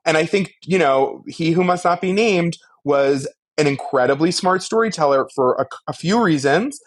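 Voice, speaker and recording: A man's voice: fairly high pitch, normal speed. Emphatic, analytical man talking on a podcast. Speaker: podcast co-host. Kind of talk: casual media discussion and critique.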